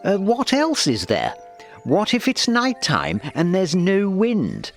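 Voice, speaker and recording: A man's voice: sits high, huge pitch swings, takes it slowly, soft background music, pitched above the performer's own voice, exaggerated voice. A man voicing a curious young male axolotl in a children's storybook, eager and full of wonder. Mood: amazed, curious. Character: young, curious, wide-eyed male axolotl, easily amazed.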